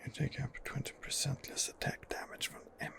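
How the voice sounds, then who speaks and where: A man softly narrating a video game in ASMR style, musing hesitantly as he thinks aloud. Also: a little fast. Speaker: gamer narrating a role-playing game playthrough. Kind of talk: whispered ASMR game commentary.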